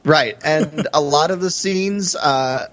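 A man's voice: fairly high pitch, slow, fairly bright tone. A man co-hosting a podcast, talking through film in a casual, conversational way with hesitant, hedged phrasing. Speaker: podcast co-host.

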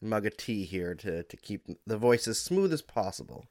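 A man from Alberta, a hockey analyst co-hosting a podcast, sick and under the weather, telling relaxed chatty stories. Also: fairly low pitch, moderate pace, no room sound, clean studio sound. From Alberta, Canada. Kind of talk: casual sports podcast chat.

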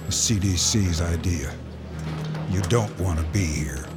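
A man's voice: low-pitched, measured pace, loud music behind the voice. An actor playing a grim male officer delivering urgent warnings in a horror radio play. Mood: grave. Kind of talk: radio drama acting.

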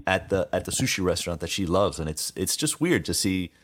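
A man's voice: low-pitched, animated, brisk. A middle-aged man hosting a casual interview chat. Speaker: podcast host.

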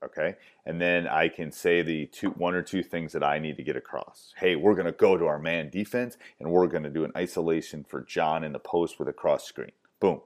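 A man with a loud voice teaching basketball coaching tips, emphatic and repetitive, with frequent "um"s. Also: a bass voice, brisk, hardly any pauses. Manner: emphatic, repeats key points, many fillers. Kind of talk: coaching clinic talk.

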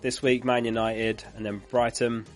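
A man's voice: mid-range voice, little pitch movement, normal speed, soft background music. A man who is losing his voice, hosting a live fantasy football stream in a chatty way, reading out viewer comments and laughing along.

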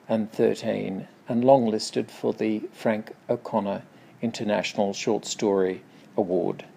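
A man reading a scripted introduction in a measured literary-programme style. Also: on the low side, creaky, mellow, husky. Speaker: introducer, magazine editor.

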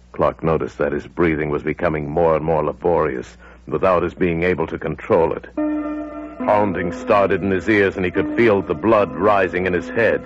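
Very deep-voiced man narrating old radio drama. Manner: suspenseful, building to urgent intensity. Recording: muffled old radio broadcast.

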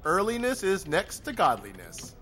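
A man's voice: sits high, wildly swooping pitch, moderate pace, a bit bright, faint music behind, exaggerated voice. A man playing a chirpy, corny office boss who nags with peppy politeness in an audio drama. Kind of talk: audio fiction acting.

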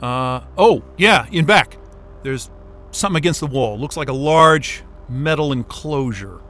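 A man's voice: mid-range voice, measured pace, soft background music. A man playing a frantic, hot-tempered, impatient stranded man in a naturalistic audio drama. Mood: puzzled, wary. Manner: excitable, exasperated, muttering and cursing.

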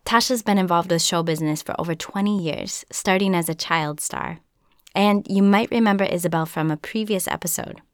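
A woman from Minnesota hosting a podcast, narrating a script in an earnest, measured way. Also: fairly low pitch, dry recording. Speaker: podcast host.

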